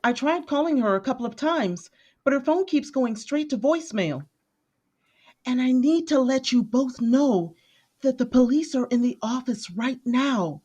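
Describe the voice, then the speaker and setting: A woman's voice: high voice, expressive, measured pace, mellow, dull audio. An actress playing an earnest, emotional female office worker in an audio drama. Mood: polite, worried.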